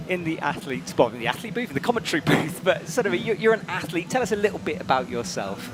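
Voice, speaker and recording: A man's voice: a little high, big pitch swings, quick pace. A man presenting live sports commentary in an emphatic, conversational way. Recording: music behind the voice. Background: music.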